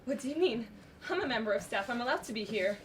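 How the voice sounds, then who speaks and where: A teenage female student acting a brisk, defensive nurse who plots an escape in an amateur stage play. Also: on the high side, animated, close to an everyday voice. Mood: startled, defensive. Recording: noisy live stage recording with clicks.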